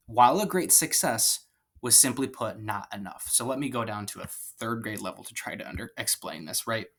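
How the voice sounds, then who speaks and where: A man's voice: medium pitch, fairly bright tone. A man teaching a history lesson in a conversational, rambling way, with frequent fillers and asides. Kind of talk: classroom lecture.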